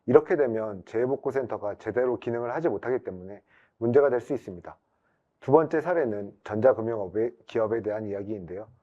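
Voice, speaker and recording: A man with a dark, mellow voice of medium pitch gives a webinar presentation. He explains formally, point by point, and the recording is slightly dull. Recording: dull band-limited webinar audio.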